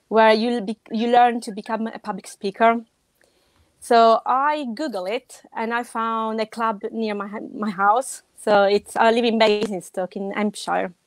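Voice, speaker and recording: A woman from Italy with a strong Italian accent, telling her story as a podcast guest in a lively, emphatic way. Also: mid-range voice, no echo. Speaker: podcast guest, web designer. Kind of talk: casual interview talk.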